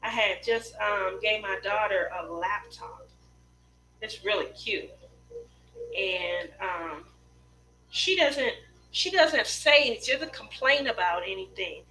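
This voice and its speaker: A woman's voice: mid-range voice, animated, slow pace, bright, forceful tone, low-quality recording. A middle-aged woman chatting casually with live viewers as host of a craft stream, telling personal stories in a rambling way.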